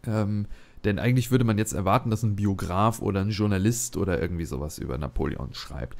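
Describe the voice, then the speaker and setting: A man's voice: low-pitched, fairly quick, creaky, a little mellow. A man hosting a let's play, chatting casually and thinking aloud with many fillers. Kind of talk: casual gameplay commentary.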